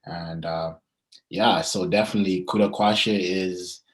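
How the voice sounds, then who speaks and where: A man's voice: deep voice, fairly even intonation. A young man, chatting casually and hesitantly as an athlete being interviewed. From Zimbabwe and South Africa. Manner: hesitant, frequent pauses and "you know".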